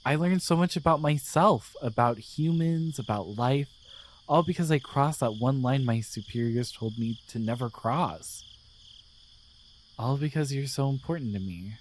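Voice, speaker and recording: A man playing a gentle, devoted guardian angel in a romantic ASMR roleplay, speaking in a tender, hesitant, reassuring way. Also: medium pitch, unhurried, a little hiss or hum, lightly acted. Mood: warm, grateful. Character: gentle, devoted male guardian angel in love with his human.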